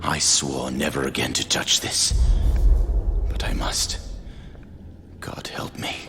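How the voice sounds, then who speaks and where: A man acting as a grave, guilt-ridden but resolute scientist with a gravelly voice in a video game cutscene. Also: rumbling low, little pitch movement, slow, whispered, exaggerated voice. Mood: reluctant, resolute. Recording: long hall reverb under loud music. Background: loud music.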